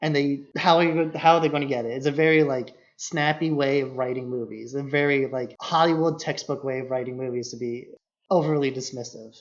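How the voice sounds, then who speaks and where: A man's voice: medium pitch, steady tone, brisk. A man co-hosting a podcast, discussing films casually in an analytical, critical way, often peppering his speech with "like". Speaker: podcast co-host. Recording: slightly dull lo-fi podcast audio.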